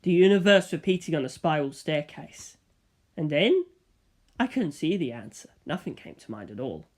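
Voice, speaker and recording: A narrator reads fiction aloud in an expressive storytelling style. The voice sits at a middling pitch, between typical male and female ranges, and tells the tale in the first person. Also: moderate pace.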